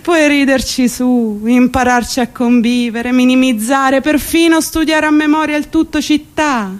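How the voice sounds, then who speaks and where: An actress playing an exasperated, sardonic commuter worn down by city life, in a theatrical radio monologue with ironic, emphatic delivery. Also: female voice, high voice, fairly even intonation, unhurried. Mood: mocking resignation. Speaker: stage actress.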